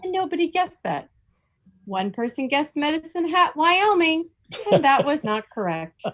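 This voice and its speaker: Animated higher-voiced woman on a call. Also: unhurried. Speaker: club member delivering a quiz. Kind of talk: casual group chat, reading out quiz answers. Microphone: video call.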